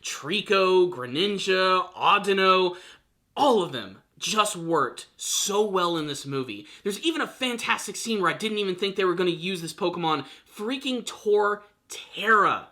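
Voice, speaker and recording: A man's voice: high-pitched, lively intonation, unhurried, sharp tone. A man hosting a video review, speaking in a gushing, emphatic way and stretching key words for effect. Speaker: film review channel host. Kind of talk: enthusiastic video review commentary to camera.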